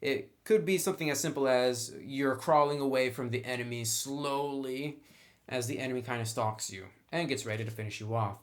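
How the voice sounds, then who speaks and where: A man's voice: mid-range voice, moderate pace. Casual explainer man, mid-range voice. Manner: conversational, instructional, addresses the viewer directly. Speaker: tabletop game reviewer and presenter.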